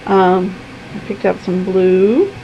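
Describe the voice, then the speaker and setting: A woman who is losing her voice talks casually to her camera from her room at home, with a gravelly, creaky edge. She chats along with frequent ums, and faint air-conditioning hum sits behind her. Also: medium pitch, fairly even intonation, slow pace, muffled recording. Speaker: vlogger.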